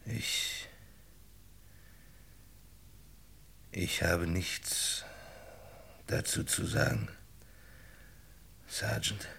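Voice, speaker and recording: Tight-lipped, weary injured man, 1960s German radio play, creaky and tense, subdued evasive delivery. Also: sits low, steady tone, extremely slow, bright, forceful tone, heavily acted. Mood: stubborn refusal. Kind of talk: 1960 German radio drama acting.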